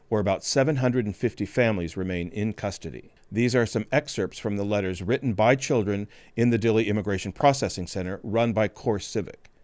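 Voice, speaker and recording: A male podcast host reading a scripted news report in a grave, measured way. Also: lowish voice, a little mellow, no room sound.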